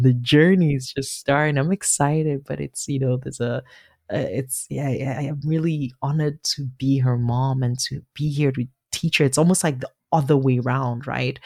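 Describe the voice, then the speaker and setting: Thoughtful Nigerian-raised woman, halting speech. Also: rumbling low, steady tone, a little mellow, dry recording, clean studio sound. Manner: thoughtful, halting, many restarts and fillers. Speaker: author, guest. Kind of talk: reflective conversational interview.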